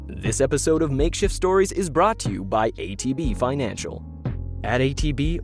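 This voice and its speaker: A man reading scripted sponsor messages and credits as a podcast announcer. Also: mid-range voice. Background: music.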